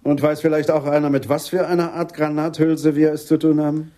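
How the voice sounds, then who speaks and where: An actor playing a skeptical, wry senior police detective in a German radio play. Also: male voice, on the high side, level tone, fairly quick, dark tone, lightly acted.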